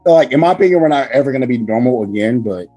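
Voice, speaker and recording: A man hosting a casual podcast chat with a hoarse, raspy voice from screaming, talking loudly and emphatically with lots of swearing. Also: medium pitch, lively intonation, very fast, faint music behind. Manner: loud, profane, emphatic. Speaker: podcast host.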